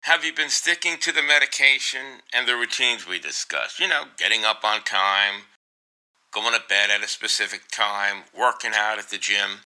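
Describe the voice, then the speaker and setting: A man's voice: lowish voice, normal speed, sharp tone, rough recording. A man playing a concerned, encouraging doctor in a radio drama, coaxing and solicitous with his patient.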